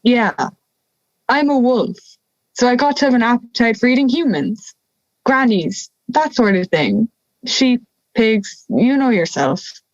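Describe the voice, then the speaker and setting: A woman's voice: fairly high pitch, animated, unhurried, no room sound, muffled recording. A young woman playing a touchy, wry, harmless talking wolf in an audio drama, indignant and teasing. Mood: exasperated.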